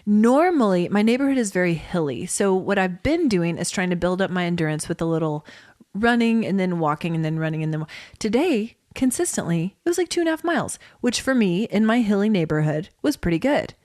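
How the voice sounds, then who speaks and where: A woman in her forties co-hosting a podcast, telling stories in casual, animated, self-mocking banter. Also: mid-range voice, fairly quick, dry recording, clean studio sound. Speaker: podcast co-host.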